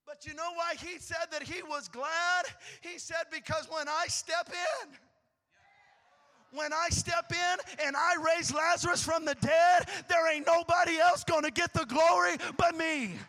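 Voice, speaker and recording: A man preaching a sermon in a church, moving from quiet teaching to shouted, fervent prayer. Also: extremely high pitch, fairly even intonation, unhurried. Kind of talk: sermon with altar call prayer. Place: church sanctuary.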